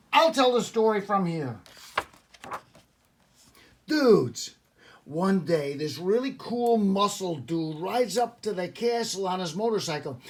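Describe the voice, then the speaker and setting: Older guy doing a high voiced cocky kid reading a storybook, blunt slang, kinda impatient and fed up. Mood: exasperated. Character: cocky, impatient boy telling a macho tale. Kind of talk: animated storybook read-aloud.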